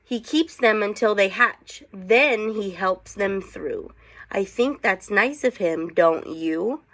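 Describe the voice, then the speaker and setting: Woman, teacher, children's read-aloud, unhurried slow delivery, mid-range pitch, conversational, questioning asides. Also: lively intonation, fairly bright tone. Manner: conversational, asks the young listeners questions.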